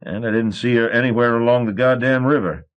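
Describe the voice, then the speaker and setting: Man voicing a gruff old steamboat captain, hot-tempered, bellowing. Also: lowish voice, a little slow, dull audio, close to an everyday voice. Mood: angry. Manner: bellowing, blustery.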